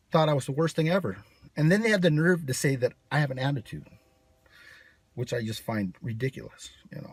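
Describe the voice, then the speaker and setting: A man's voice: fairly high pitch, slightly rough, no room sound. A man from the United States talking in a brash, sarcastic, rambling monologue to camera. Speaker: channel host. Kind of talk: casual vlog monologue.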